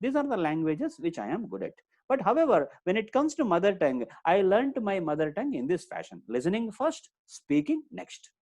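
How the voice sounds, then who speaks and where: A middle-aged man, a Telugu speaker, teaching a live online class. His pitch swings widely as he repeats each point and fires questions at his listeners. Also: huge pitch swings, brisk, hardly any pauses, darkish tone. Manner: repeats key points and quizzes the audience. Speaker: communication trainer.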